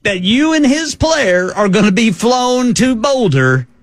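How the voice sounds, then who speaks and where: A man's voice: extremely high pitch, unhurried, no room sound. A man co-hosting a radio talk show, telling stories and reading out a news item with wry asides. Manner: animated, wry storytelling. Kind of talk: reading a news story and chatting.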